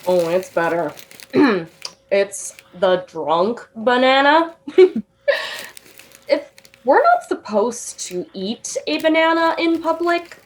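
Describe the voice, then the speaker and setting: A woman's voice: a little high, animated. A young actress playing a tipsy, giggly woman waiting for a late-night train in a radio drama. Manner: giggly, drawn-out tipsy delivery.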